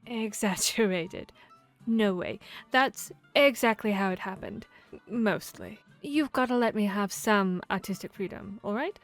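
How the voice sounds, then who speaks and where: A woman playing a boastful, playful vampire hunter in a fantasy audio roleplay, speaking in a theatrical, expressive storytelling manner. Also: mid-range voice, unhurried, lightly acted. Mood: teasing, reassuring. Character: boastful, playful female vampire hunter telling tavern tales. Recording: music behind the voice. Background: background music, tavern ambience.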